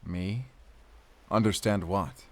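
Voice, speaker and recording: A man's voice: low voice, slow. A man playing a wary but resolute werewolf hunter in an audio drama. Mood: questioning. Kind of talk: audio roleplay acting.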